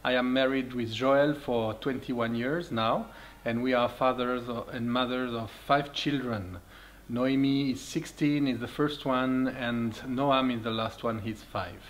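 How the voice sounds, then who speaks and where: A man's voice: medium pitch, slight background noise. Earnest Swiss man. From Switzerland. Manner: earnest, non-native phrasing, steady. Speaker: youth ministry leader. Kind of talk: personal testimony and encouragement.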